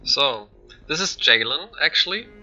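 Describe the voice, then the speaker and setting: A man giving casual, unscripted commentary for a game showcase, explaining loosely in halting phrases. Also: on the high side, expressive, dull audio, background noise. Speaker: gaming channel narrator.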